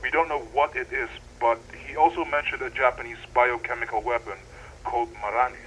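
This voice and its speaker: A man playing an earnest, wonderstruck deep-sea researcher speaking slightly formally into a recorded log for an analog horror drama. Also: steady tone, a little fast, fairly bright tone, slight background noise, natural voice, rough recording. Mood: pensive, puzzled. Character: excitable male deep-sea researcher keeping an audio log.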